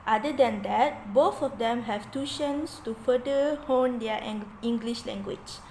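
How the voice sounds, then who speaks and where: A young woman reading out a prepared report in a steady read-aloud way as a student presenter. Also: a little high, slight background noise, rough recording.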